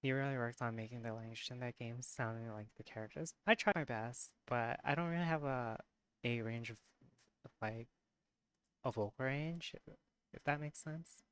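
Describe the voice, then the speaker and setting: A live streamer with a tired, hoarse voice, chatting casually with viewers, giggling often and correcting themself mid-sentence. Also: mid-range voice, moderate pace. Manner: giggly, laughs often between remarks; rambling, self-correcting. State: voice worn and hoarse from long streaming.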